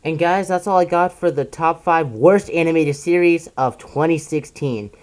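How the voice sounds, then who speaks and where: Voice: medium pitch, a little mellow. Exasperated reviewer ranting through a countdown. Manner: exasperated, emphatic ranting with drawn-out stressed words. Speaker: video reviewer presenting a countdown list. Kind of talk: casual opinionated commentary.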